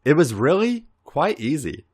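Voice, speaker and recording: Man putting on a smug wrestler, hugely animated pitch. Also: a little slow, husky, full character voice. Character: smug, taunting male wrestler rival, seductively menacing.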